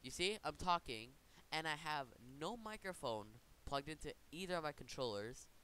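A man hosting an informal software tutorial, talking in a casual, rambling way and correcting himself as he goes. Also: on the high side, expressive, moderate pace, clean tone. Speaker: tutorial host. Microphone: computer microphone. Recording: noticeable background hiss.

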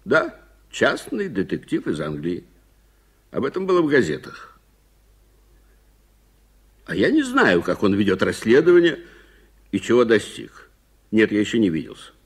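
An actor playing a proud, gruff old frontier businessman in a radio play, speaking brusquely and emphatically. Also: fairly low pitch, huge pitch swings, moderate pace, long pauses, full character voice. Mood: brusque, insistent. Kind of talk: Soviet radio play acting.

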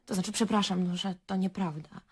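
A woman's voice: mid-range voice, steady tone, fairly quick, breathy edge. An actress playing a nervous, gossipy young woman under questioning in a radio drama, hesitant, rambling and correcting herself. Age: young adult.

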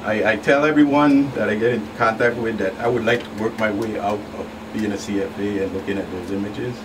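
A man's voice: fairly low pitch, fairly even intonation, fairly quick. A middle-aged man talking earnestly as a guest agent on a radio show. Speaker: federal agent, guest expert. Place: small indoor radio studio. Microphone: boom-mounted studio microphone. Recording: noisy, slightly distant studio sound.

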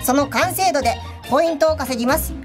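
A woman's voice: high voice, fast talker. A young woman, an assistant trainee on a radio show, giving a rehearsed and formal explanation. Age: young adult. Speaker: assistant candidate, vocational student. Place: radio studio. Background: music.

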